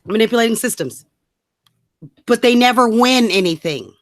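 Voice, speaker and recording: A woman's voice: fairly high pitch, lively intonation, moderate pace, dry recording, studio quality. A woman co-hosting a rant show in casual banter, blunt and mocking, swearing freely. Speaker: co-host of a political rant show.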